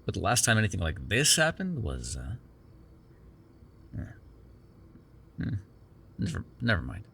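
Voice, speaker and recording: A man's voice: on the low side, a bit bright, slightly rough, exaggerated voice. A man playing a sardonic, prickly but secretly caring vampire in an ASMR roleplay, with a teasing manner. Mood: wry, reluctant. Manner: teasing, sarcastic, breaking into exasperation and soothing murmurs.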